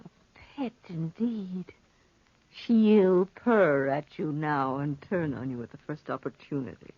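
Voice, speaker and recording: A woman's voice: low voice, slow pace, slightly rough, no echo, heavily acted. An actress playing a fearful, secretive desert woman, pleading and breathless, in 1940s radio drama style. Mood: wary, dismissive. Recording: muffled vintage 1940s radio recording.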